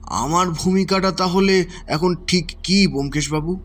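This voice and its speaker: A man's voice: sits high, measured pace, fairly bright tone. A man playing a courteous, concerned physician in a Bengali audio drama, with a polite, deferential and dramatic delivery. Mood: shocked. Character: courteous, concerned male physician questioned in a murder case.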